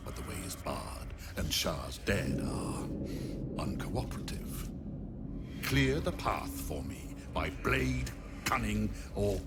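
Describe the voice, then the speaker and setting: An actor playing a haughty, sinister necromancer in a video game, with pompous, theatrical, drawling delivery. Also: male voice, fairly low pitch, background noise, exaggerated voice. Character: sinister, haughty male necromancer, vain about his grisly creations. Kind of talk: dramatic video game acting.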